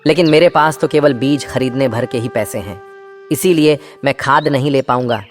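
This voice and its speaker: A man's voice: mid-range voice, moderate pace, faint music behind, lightly acted. A man voicing an earnest, polite young crow farmer in a children's cartoon story.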